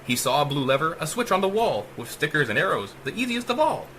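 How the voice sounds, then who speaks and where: A man's voice: on the high side, big pitch swings, fast, fairly bright tone, a little hiss or hum. A man narrating a rhyming short story as a dramatic audiobook, with theatrical, emphatic delivery. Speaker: author reading own short story.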